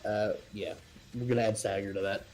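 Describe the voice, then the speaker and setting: A man's voice: lowish voice, fairly even intonation, brisk, noisy background. A man talking casually as a player in a tabletop role-playing game, informal and sweary. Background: guitar music.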